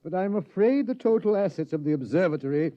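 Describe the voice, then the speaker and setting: Jittery astronomer, stammering, mellow voice, lively pitch, dull old radio sound. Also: male voice, fast talker. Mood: flustered. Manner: stammering, flustered. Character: nervous, stammering male observatory astronomer. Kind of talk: 1950s radio acting.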